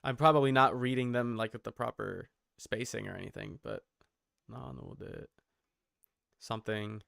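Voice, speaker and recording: A man's voice: fairly low pitch, normal speed, creaky, a bit bright, dry recording. A man streaming casual reaction commentary, thinking aloud in broken phrases close to the mic.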